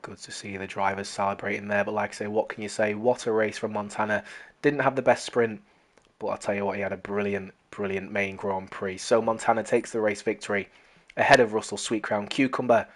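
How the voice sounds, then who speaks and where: A race commentator calling an online racing league, hoarse from losing their voice and excitable during close battles. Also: low-pitched, brisk. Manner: talks to viewers as "guys".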